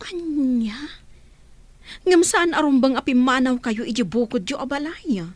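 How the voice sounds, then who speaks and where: Earnest, caring woman, slightly high voice. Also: expressive, a little slow, no room sound. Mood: probing, concerned. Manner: earnest, questioning. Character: caring, earnest woman helping orphaned children. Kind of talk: audio drama acting.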